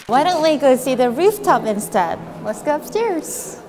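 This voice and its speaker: A woman's voice: high-pitched, sing-song, unhurried, crowd noise. A young woman in her twenties hosting an upbeat travel vlog, walking through cafes and streets, bubbly and exclamatory with gasps of delight. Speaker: travel video host. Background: background music.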